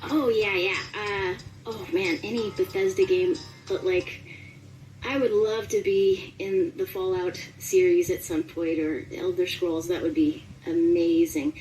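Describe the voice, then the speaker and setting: A woman's voice: lowish voice, steady tone, a little slow, a little mellow, recorded from a distance, faint music behind, low-quality recording. A woman chatting casually and animatedly as an interviewed voice actor. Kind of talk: casual chat.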